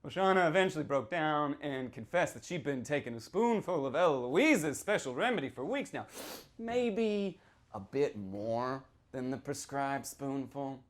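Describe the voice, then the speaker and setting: A man giving a dramatic reading as a sinister small-town storyteller, swinging from hushed whispers to shouted outbursts. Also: mid-range voice, very animated, measured pace. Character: sinister small-town local man recounting a grim tale. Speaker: author performing a reading from a novel. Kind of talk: theatrical first-person horror storytelling to an audience.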